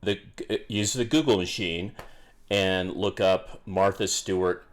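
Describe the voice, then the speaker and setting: Very deep, slow-talking man. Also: fairly even intonation. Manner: slow, dry joking with many "um"s. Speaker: podcast co-host. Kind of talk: casual chat.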